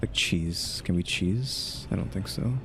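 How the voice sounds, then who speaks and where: Low-voiced man musing over a game. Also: measured pace, very noisy. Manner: rambling, musing aloud with questioning asides. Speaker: gaming streamer. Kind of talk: casual game playthrough commentary.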